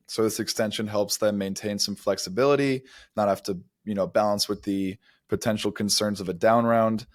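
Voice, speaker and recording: A man's voice: on the low side, dry recording, clean studio sound. A man co-hosting a podcast, explaining startup news in an articulate, semi-scripted way. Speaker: podcast co-host.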